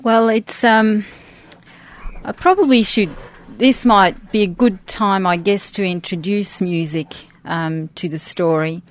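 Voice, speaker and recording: A woman's voice: medium pitch, fairly even intonation, takes it slowly. A middle-aged woman who speaks English as a second language, chatting informally as an interview guest and thinking aloud as she goes. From grew up outside Australia. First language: a language other than English. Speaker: interview guest, church worship team member. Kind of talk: conversational interview.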